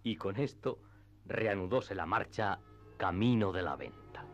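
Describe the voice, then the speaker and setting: A man narrating a classic literary radio play in a measured, storytelling manner. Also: lowish voice, dull audio. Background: music.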